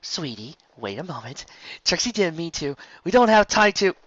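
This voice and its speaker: A man's voice: on the high side, big pitch swings, normal speed, a bit bright, no room sound, pitched above the performer's own voice. A man voicing an earnest young female pony turned beast, apologetic and soothing, in a storybook reading on a lo-fi recording. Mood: placating. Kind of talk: acted storybook dialogue.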